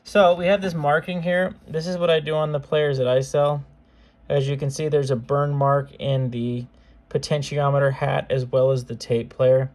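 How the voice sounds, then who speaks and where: A man's voice: fairly high pitch, level tone, normal speed, a little mellow. Casual man demonstrating a product. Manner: informal, offhand, conversational. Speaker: seller demonstrating modified cassette players.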